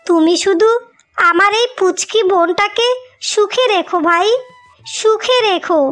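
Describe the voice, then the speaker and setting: A woman's voice: extremely high pitch, a little slow, fairly bright tone. A woman voicing a wronged, self-sacrificing elder sister in a melodramatic cartoon fairy tale, plaintive and lamenting. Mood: tearful, resigned. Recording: music behind the voice.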